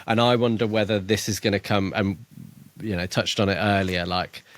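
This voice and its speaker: Deep-voiced, thoughtful man. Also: flat delivery, moderate pace, fairly bright tone. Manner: thoughtful, hesitant, trails off and restarts. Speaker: podcast co-host. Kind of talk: casual book discussion.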